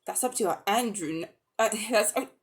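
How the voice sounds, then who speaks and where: A woman's voice: mid-range voice, moderate pace, close to an everyday voice. A woman voicing a cool, cutting young schoolgirl in a dramatic storybook reading. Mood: cool, huffy.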